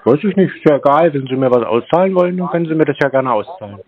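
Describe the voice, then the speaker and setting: A man's voice: on the high side, animated, racing, crowd noise. Brief: man answering scam calls, dry and teasing, tinny phone line. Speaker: scam-baiting call recipient.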